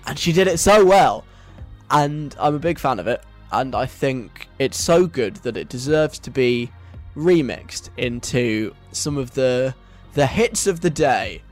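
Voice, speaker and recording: A man's voice: medium pitch, animated, unhurried, darkish tone, soft background music. A British man hosting a radio show in a casual, chatty, wry and self-deprecating conversational way.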